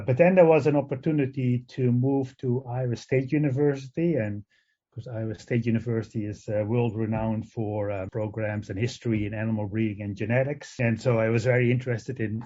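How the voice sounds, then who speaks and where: An older man from the Netherlands with a Dutch accent, a professor answering interview questions, pausing often with fillers and restarts. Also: medium pitch, mellow. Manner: hesitant, many fillers and restarts. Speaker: guest, professor of animal genetics. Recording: dull lo-fi sound.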